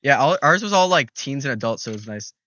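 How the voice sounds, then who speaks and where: Bright rambling young streamer guy. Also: mid-range voice, animated, fast talker, dry recording, highs cut off. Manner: rambling, swears a lot, many restarts. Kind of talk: casual gaming stream chat.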